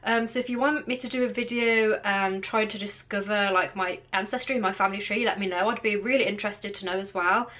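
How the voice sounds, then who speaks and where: A woman's voice: mid-range voice, steady tone, fairly quick, few pauses, fairly bright tone. Chatty young Birmingham woman vlogging. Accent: Birmingham English. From England. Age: 20s. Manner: chatty, rambling, with many fillers. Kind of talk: casual Q and A to camera. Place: bedroom with clothes rail, indoors.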